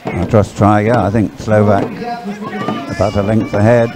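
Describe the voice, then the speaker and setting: A man's voice: lowish voice, level tone, measured pace. A man doing live sports commentary in a chatty, hesitant, rambling way.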